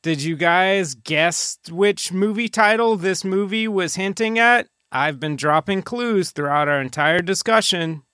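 A man with a slightly high, smooth voice hosts a casual podcast. He rambles through dry jokes in banter with his friends, recorded close and dry. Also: a little slow, few pauses, pushed and bright, no room sound. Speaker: podcast host.

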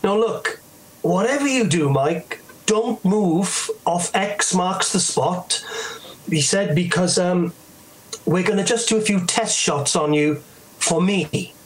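A middle-aged man with a Welsh accent and a notably high speaking voice. He talks casually and tells anecdotes in a chatty way. He slips into the voices of people he is quoting. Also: expressive, slow pace, rough recording. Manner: chatty storyteller, acts out quoted voices. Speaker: guest.

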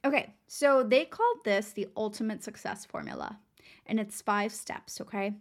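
A young adult woman from Texas hosting a casual motivational podcast, her voice raspy and worn, chatty and upbeat as she talks straight to her listeners. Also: mid-range voice, wildly swooping pitch, normal speed. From San Antonio, Texas. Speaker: podcast host.

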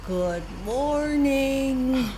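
A woman playing a gentle, doting older grandmother in an audio drama, kindly and a little nosy. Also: high-pitched, lively intonation, noisy background, heavily acted. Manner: warm, unhurried, doting.

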